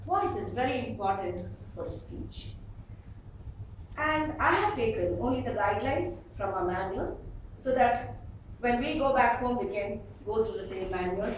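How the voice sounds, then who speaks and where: Animated Indian woman speaking in an echoey room. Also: fairly high pitch, unhurried. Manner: expressive, emphatic, with acted-out examples. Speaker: speaker at a public speaking club. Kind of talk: instructional speech to a live audience. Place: indoor meeting room before an audience. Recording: distant, very echoey room with faint noise.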